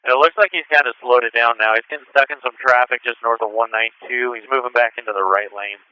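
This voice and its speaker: A man's voice: medium pitch, fairly even intonation, fast, clean tone, dry recording. A man, a state trooper, calling out clipped pursuit updates over a police radio picked up on a scanner. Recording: muffled, band-limited police scanner radio.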